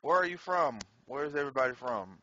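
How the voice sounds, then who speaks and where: A man's voice: mid-range voice, expressive, fast, bright, forceful tone, highs cut off. A man casually commenting on his gameplay in a slangy, profane way, laughing often. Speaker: gameplay streamer.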